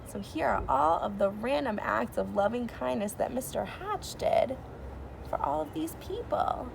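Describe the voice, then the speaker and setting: Woman, fairly high pitch, expressive storybook read-aloud, teacherly explaining, clear background noise. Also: unhurried. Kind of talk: children's storybook read-aloud with simple commentary.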